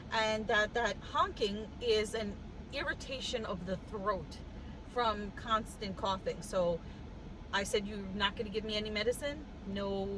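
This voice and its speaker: A woman with a cough telling a story in a casual vlog, animated and wry, laughing as she goes, sitting in a car. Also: medium pitch, background noise. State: congested with a cough. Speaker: vlogger. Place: in a car with the air conditioning on.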